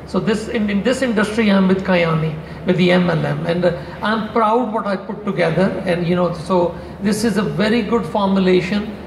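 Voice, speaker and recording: A man's voice: high-pitched, normal speed, vocal fry, few pauses, slight background noise. An older man on a convention panel talking casually about supplement formulas, rambling with many restarts and asides into a handheld mic. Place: large convention hall stage. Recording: echoey convention hall.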